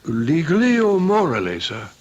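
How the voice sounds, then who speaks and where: An actor playing a mild-mannered, proper manservant in a radio drama, polite, tactful and a little hesitant. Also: male voice, fairly high pitch, wildly swooping pitch, moderate pace, a little mellow. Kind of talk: old-time radio drama acting. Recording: dull lo-fi radio drama recording.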